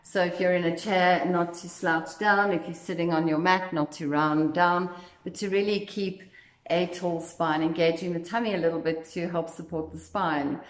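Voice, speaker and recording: A woman leading a guided meditation as instructor, speaking in a gentle, soothing, unhurried way. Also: fairly low pitch, steady tone. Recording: echoey, roomy sound.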